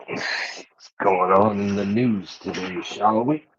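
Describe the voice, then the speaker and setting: A man's voice: fairly low pitch, steady tone, slow, a bit bright, slightly rough, muffled recording. A man from the American South chatting on a livestream panel, teasing and swearing freely. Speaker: panel guest. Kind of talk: casual livestream chat.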